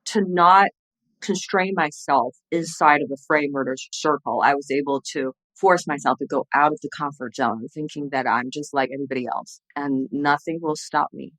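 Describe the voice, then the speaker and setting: A woman's voice: extremely low pitch, fairly even intonation, normal speed, a bit bright, no echo, low-quality recording. A woman in her thirties who grew up speaking Chinese, talking with a Chinese accent as an interview guest on a video call, earnest and reflective with frequent restarts. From China. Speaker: guest, entrepreneur and former TV journalist. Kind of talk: casual interview conversation. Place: home room, indoors. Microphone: video call, webcam at desk distance.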